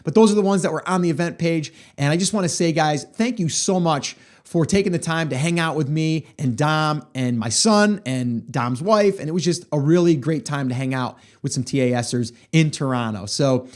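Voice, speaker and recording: A hoarse middle-aged man hosts his own podcast and talks to listeners in a loose, chatty monologue. His voice sits a little higher than most men's, and he rambles along with plenty of fillers as he tells a story. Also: normal speed, few pauses. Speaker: podcast host. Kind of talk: casual solo podcast monologue.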